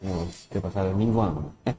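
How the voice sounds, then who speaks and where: A man's voice: deep voice, moderate pace, music in the background. A man with a Malaysian accent, a native Malay speaker, muttering casual gameplay commentary as a streamer. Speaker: game streamer.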